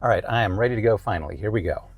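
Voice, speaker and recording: A man's voice: lowish voice, rapid-fire, creaky, over loud music. A man presenting a product range test in a casual, conversational way from inside a car.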